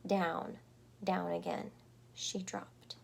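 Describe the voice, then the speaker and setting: A woman reading a picture book aloud to children, expressive, with deliberate phrasing, dramatic pauses and small explanatory asides. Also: lowish voice, creaky, a little hiss or hum.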